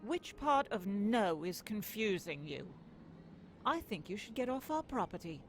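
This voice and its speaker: A woman's voice: mid-range voice, sing-song, unhurried, faint music behind. A woman playing a proud, defiant young political aide in a comic dub, speaking firmly and assertively. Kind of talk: acted comic dub dialogue.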